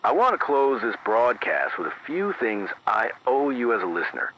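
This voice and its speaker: A man playing a careful, weary emergency radio announcer, reading formal bulletins in a measured, deliberate way through an AM radio filter. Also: mid-range voice, sing-song, lo-fi sound, a little hiss or hum. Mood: weary, solemn. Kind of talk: scripted emergency bulletin, analog horror radio drama.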